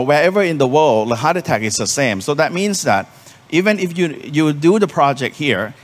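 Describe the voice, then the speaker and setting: Thai-native man, startup founder talk, earnest and halting, mid pitch, live hall echo. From Thailand. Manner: halting, many ums and restarts, earnest. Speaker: startup founder presenting on stage. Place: conference venue hall. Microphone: handheld stage mic. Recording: hall reverb from a live venue.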